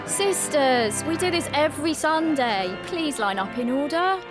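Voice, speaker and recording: Prim, earnest woman, slightly high. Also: expressive, a little slow, over loud music. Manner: earnest, proper. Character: prim, earnest, virtuous pregnant seamstress, bullied outsider. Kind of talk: period radio drama acting with narration.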